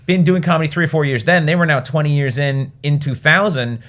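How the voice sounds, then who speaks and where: A man's voice: a little high, fairly bright tone. A man hosting a casual comedy podcast in a studio, rambling and cracking jokes. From Canada. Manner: rambling, joking, quick to laugh. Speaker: podcast host. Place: recording studio.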